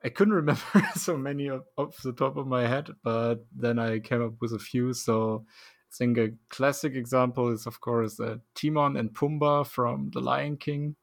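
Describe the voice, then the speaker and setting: A man's voice: mid-range voice, normal speed, vocal fry, no echo. Guy hosting a chill podcast, laughs a lot, dry close mic. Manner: relaxed, laughs often, loose phrasing with fillers. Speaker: podcast host. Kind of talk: casual podcast chat.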